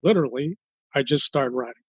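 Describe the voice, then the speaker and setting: An older man from Los Angeles in an interview as a guest author, speaking in a halting, thoughtful way and building his points step by step. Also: medium pitch, slightly rough, dry recording. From United States, Los Angeles. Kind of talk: interview conversation.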